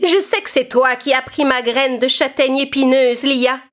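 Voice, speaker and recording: Woman playing high-voiced, demanding wicked fairy. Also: normal speed, over loud music, natural voice. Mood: angry. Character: wicked fairy sister, angry, demanding villainess.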